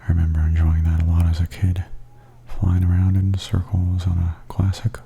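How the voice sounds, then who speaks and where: A man giving softly spoken ASMR tour commentary in a hushed, breathy half-whisper. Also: sits low, completely flat delivery, normal speed, slight background noise. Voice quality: soft, breathy. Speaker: host of ASMR walking tour videos.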